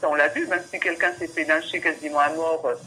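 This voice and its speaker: Female adviser, bright forceful voice, formal but halting and conciliatory, phone call with faint noise. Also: low-pitched, fairly even intonation. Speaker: legal adviser at a medical council.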